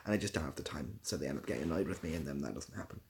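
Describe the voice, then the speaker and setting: A man's voice: rumbling low, very fast, darkish tone. A young man hosting a casual livestream, reading out comments and giving dry, self-deprecating, rambling answers. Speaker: livestream host.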